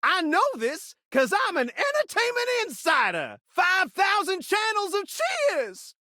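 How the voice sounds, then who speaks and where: A hyperactive game-show-slick television set come to life, flirting in brash rhymes. The voice is high and bright, swooping wildly in pitch like a video game cartoon showman. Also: wildly swooping pitch, a little slow, dry recording, clean studio sound, full character voice. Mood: excited, flirty showboating. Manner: brash showman, rhyming catchphrases. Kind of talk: theatrical rhyming video game voice acting.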